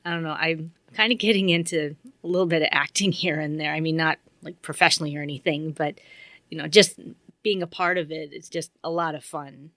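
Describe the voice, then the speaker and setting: Bright-voiced, lowish woman, casual guest. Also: steady tone, brisk, no room sound. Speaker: interview guest, volunteer radio host. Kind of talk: casual chat. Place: community radio studio.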